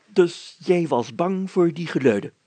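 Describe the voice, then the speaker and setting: A man voicing a pompous, excitable mayor in a storybook reading, grandiose and declaiming. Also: fairly high pitch, a little slow, no room sound, pitched above the performer's own voice. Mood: effusive, self-important. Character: pompous, excitable middle-aged male mayor, theatrical and effusive.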